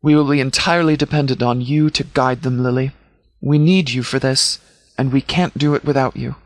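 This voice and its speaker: Man acting a formal, measured military commander. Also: medium pitch, fairly even intonation, no echo, natural voice. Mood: businesslike. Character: stern, caring male military commander briefing troops. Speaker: video game voice actor. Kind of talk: scripted game dialogue.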